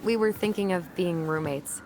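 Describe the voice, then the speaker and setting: Actress as a low-pitched, practical young woman. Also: normal speed, darkish tone, a little hiss or hum, close to an everyday voice. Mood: wry, friendly. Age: young adult. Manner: casual, matter-of-fact. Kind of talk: naturalistic video game acting.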